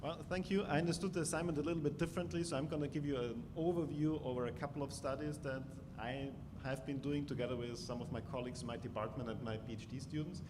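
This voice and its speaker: A man's voice: medium pitch, quick pace, hardly any pauses. Austrian man, academic presenter, casual with fillers; roomy reverberant hall, noisy recording. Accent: Austrian German. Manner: relaxed, conversational, many "um"s. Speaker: professor presenting research. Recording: echoey hall with noise.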